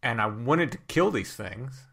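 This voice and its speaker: Host guy chatting, says stuff twice for emphasis, normal pitch. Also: lively intonation, a little slow, vocal fry, fairly bright tone, husky. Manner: conversational, emphatic, repeats himself. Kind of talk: casual interview chat.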